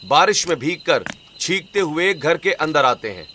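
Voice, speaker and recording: A man's voice: on the high side, big pitch swings, normal speed, pushed and bright, slight background noise. A man reading scripted narration for a cartoon story as the narrator.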